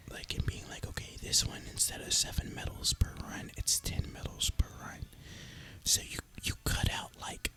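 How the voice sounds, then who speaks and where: A person speaking in a breathy, unvoiced ASMR whisper, musing casually and informally as gaming commentary. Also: bright, forceful tone. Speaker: host of an ASMR gaming channel.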